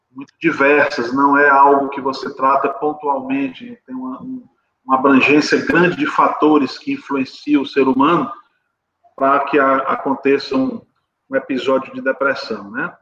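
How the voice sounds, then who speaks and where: A man from Brazil giving an explanatory talk as a guest speaker, measured and hesitant, often checking for agreement. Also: mid-range voice, expressive, creaky, fairly bright tone. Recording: roomy, dull audio with background noise.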